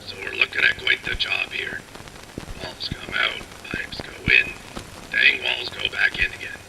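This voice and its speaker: An actor playing a gruff, resigned repairman in a game audio log, with a gravelly voice and world-weary, plain-spoken delivery. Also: fairly low pitch, very animated, unhurried, exaggerated voice. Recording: noisy, crackling old audio log.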